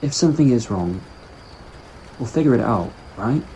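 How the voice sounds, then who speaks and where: A man's voice: mid-range voice, animated, normal speed, mellow, a little hiss or hum, lightly acted, poor audio. A man playing an uneasy, sceptical travelling companion in a fan audio drama, hesitant and questioning.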